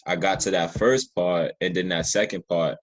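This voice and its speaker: A man's voice: low-pitched, fairly even intonation, normal speed. A man hosting a casual podcast interview, speaking in an earnest, effusive and encouraging manner. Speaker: podcast host and writer.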